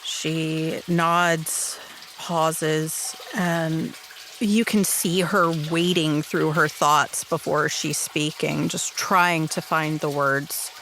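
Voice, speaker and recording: A woman's voice: low voice, fairly even intonation, extremely slow, background noise. A woman improvising story narration as a player in a tabletop roleplay game.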